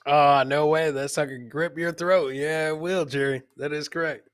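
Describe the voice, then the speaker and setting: A man's voice: a little high, steady tone, normal speed, smooth, no room sound, studio quality. A man hosting a casual comedy clip show, chatting to his co-host and viewers and often repeating phrases for comic effect. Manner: chatty, addresses co-host and live viewers by name.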